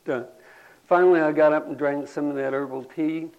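An older man, telling folksy rambling stories to a gathering and clearing his throat now and then. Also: fairly high pitch, steady tone, fairly quick, a little mellow, muffled recording. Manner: folksy, drawling, says y'all. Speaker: storyteller at a community gathering.

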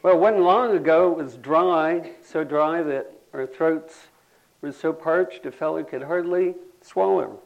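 Older guy rambling folksy stories, gravelly voice, clears his throat a lot. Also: fairly high pitch, unhurried, muffled recording. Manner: folksy, drawling, says y'all. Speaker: storyteller at a community gathering.

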